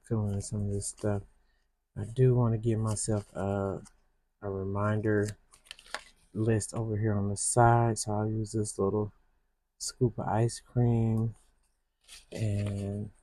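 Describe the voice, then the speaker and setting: A slow soft-spoken Southern woman. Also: extremely low pitch, level tone, a little hiss or hum. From Michigan. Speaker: planner vlogger. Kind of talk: casual narration while decorating a planner.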